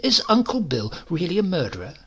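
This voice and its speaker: Man acting a curious little boy, pitched up. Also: huge pitch swings, a little fast, fairly bright tone, breathy edge, highs cut off, exaggerated voice. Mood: innocent, questioning. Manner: hesitant, innocent questioning. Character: curious, naive young boy, eight or nine. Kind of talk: storybook character voice.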